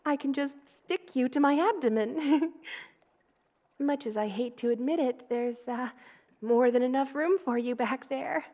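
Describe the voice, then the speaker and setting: A loving spider queen played by a woman for an ASMR roleplay. Her voice sits fairly high and moves very slowly. She speaks with motherly tenderness and gently formal, soothing words, as a kindly monster would to someone in her care. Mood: warm, affectionate.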